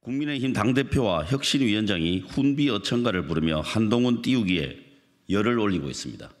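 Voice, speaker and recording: A male spokesman with a low voice reads a prepared statement sternly and formally. His voice carries a long reverberation from a briefing room. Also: animated, fairly quick.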